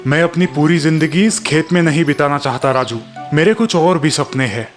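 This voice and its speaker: Casting: male actor as grumbling, greedy village farmer for a moral tale, slightly high voice, clear music underneath. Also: moderate pace, close to an everyday voice. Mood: wistful. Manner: grumbling, impatient. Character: discontented, money-minded young male villager farmer. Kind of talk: dramatized moral story for children. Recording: music behind the voice.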